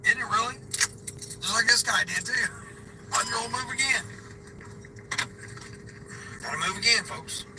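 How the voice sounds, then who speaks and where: A man's voice: very high voice, measured pace, gravelly, pauses often. A man livestreaming from his parked car between deliveries, chatting and laughing as he reads viewer comments aloud. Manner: chatty, rambling, laughs often. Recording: car ambience hum behind voice.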